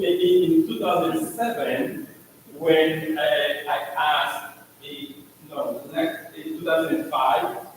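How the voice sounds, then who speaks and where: A Haitian-accented priest, middle-aged, addresses his church in a slow, halting way, his pitch rising high and swinging widely. He sounds far from the mic, with a long echo filling the large hall. Faint room noise sits behind him. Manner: slow, halting, with many restarts and fillers. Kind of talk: introducing himself to the congregation.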